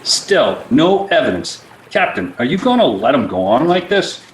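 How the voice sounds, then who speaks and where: Roomy sound, male actor as defiant cook, highish voice, animated, blustering, indignant, radio play. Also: normal speed, close to an everyday voice. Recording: echoey room with faint noise.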